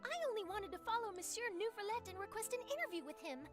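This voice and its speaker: A woman's voice: very high-pitched, animated, fast talker. A woman voicing an eager young female journalist, chatty and a little defensive. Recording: game audio with music.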